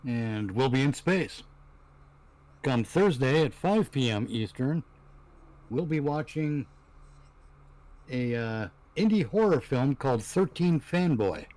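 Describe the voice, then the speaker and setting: A man chatting casually on a livestream about his plans, with a halting delivery. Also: medium pitch, lively intonation, darkish tone. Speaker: livestream guest promoting own show. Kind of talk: casual chat.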